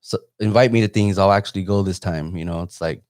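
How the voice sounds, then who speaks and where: A man's voice: low voice, quick pace, a little mellow, no room sound, clean studio sound. A man hosting a casual live interview, talking in an informal, rambling way. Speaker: interviewer and host; photographer.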